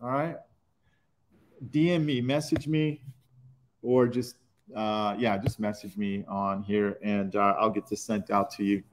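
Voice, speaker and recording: A man's voice: lowish voice, fairly even intonation, measured pace, long pauses, slight background noise. Middle-aged guy hosting a live stream from a car shop, easygoing, says dude a lot. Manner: loose and upbeat, calls people dude. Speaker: webinar host. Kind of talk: casual live-stream chat. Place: indoor auto repair shop.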